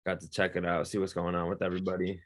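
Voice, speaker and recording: A man's voice: low voice, completely flat delivery, quick pace. A man hosting a producer interview show, talking casually with hype and lots of affirming thanks to his guest. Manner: hype, affirming, grateful host talk. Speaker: interview host.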